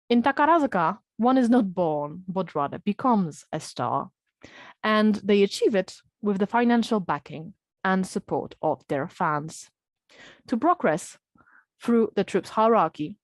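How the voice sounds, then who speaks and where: A woman's voice: mid-range voice, dry recording. Woman presenting a scripted talk, dry sound. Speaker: presenter at an academic panel.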